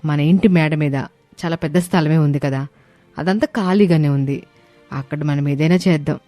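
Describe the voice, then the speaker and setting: A woman's voice: deep voice, normal speed, mellow, no room sound, faint music behind, lightly acted. A woman voicing an earnest, eager young daughter-in-law in a dramatized story. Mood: scheming, eager.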